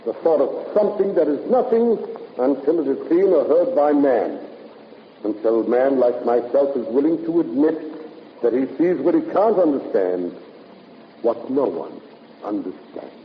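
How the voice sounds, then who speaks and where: An actor playing a pompous, eccentric professor of psychic research in a 1950s radio drama, slyly teasing in a mannered way. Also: male voice, on the high side, animated, moderate pace, lightly acted. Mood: cryptic, smug. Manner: theatrical, mannered, ponderous. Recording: muffled, noisy, echoey old radio recording.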